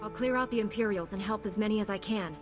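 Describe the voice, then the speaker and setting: Composed, earnest heroine played by a woman, mid-pitched. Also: fast, natural voice. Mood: calm, resolute. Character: earnest, dutiful young female warrior-monk heroine. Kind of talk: scripted video game acting. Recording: game cutscene with music underneath.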